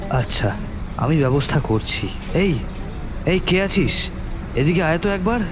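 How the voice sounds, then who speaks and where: A man playing an older, well-off gentleman in an audio drama, courteous yet defensive and disdainful, speaking deliberately. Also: on the high side, animated, noisy background. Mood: indignant.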